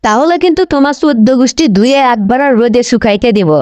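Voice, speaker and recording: Cartoon wife , female actor: high register, curt, scolding, quarrelsome, North Bengal accent, slightly noisy audio. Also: expressive, fast, darkish tone, highs cut off, natural voice. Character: sharp-tongued, quarrelsome, defiant village wife. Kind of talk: comic cartoon dialogue. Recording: slightly noisy recording with clicks.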